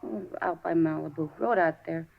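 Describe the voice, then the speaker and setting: A woman's voice: low-pitched, normal speed, creaky. An American actress playing a flippant, slangy young woman in a 1950s radio drama, bored and scornful. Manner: offhand, sassy, slangy. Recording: dull 1950s radio recording.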